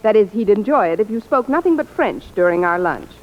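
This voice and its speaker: Woman playing a wry, animated American schoolteacher, vintage radio. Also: medium pitch, fairly quick, natural voice. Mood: coy, scheming. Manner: dry, coy, wisecracking. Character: wry, scheming, lovestruck schoolteacher with quick wisecracks. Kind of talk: 1940s-50s radio sitcom acting. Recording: dull, noisy old radio, audience behind.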